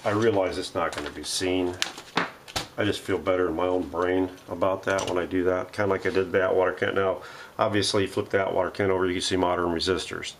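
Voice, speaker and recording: Deep creaky voiced guy doing a casual repair demo at his bench, kinda talking to himself, some noise behind him. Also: steady tone, a little fast. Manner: thinking aloud while working. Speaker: host of a radio repair vlog. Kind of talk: unscripted hands-on workshop demonstration, casual. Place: home workshop.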